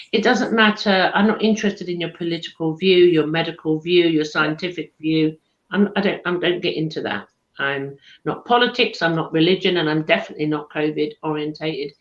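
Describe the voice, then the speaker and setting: A woman's voice: deep voice, moderate pace. A woman with a posh southern English accent, chatting casually as co-host of a live chat show, calling people darling. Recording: echoey room, dull lo-fi sound.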